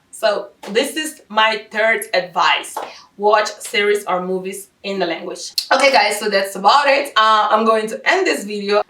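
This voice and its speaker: A chatty woman in her thirties from Curaçao. Her voice sits at an ordinary middle pitch and is recorded a little away from the mic in an echoey room. She talks in a casual, animated way with frequent laughter. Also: far from the mic. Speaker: vlogger. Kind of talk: casual language-learning tips vlog.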